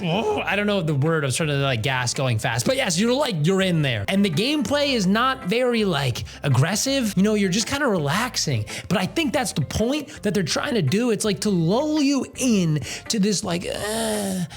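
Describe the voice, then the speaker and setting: Male game reviewer, very animated pitch swings, casual conversational delivery, ad-libbed asides, music underneath. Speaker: video game review host. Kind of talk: casual scripted video review with ad-libs.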